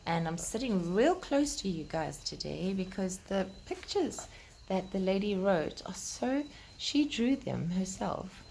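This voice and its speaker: A woman's voice: mid-range voice, creaky, darkish tone, slight background noise, low-quality recording. A woman hosting a children's storytime, reading aloud and talking directly to young listeners in simple, explanatory language. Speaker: children's storytime host and reader.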